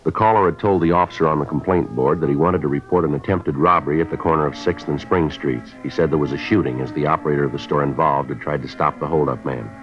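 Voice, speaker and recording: Very deep-voiced, clipped police detective. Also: male voice, quick pace, few pauses. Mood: flat, matter-of-fact. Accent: American. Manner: deadpan, matter-of-fact. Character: terse, businesslike male police detective narrating his case. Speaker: narrator and lead actor. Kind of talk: 1950s radio acting, clipped scripted narration. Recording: dull vintage radio recording with music.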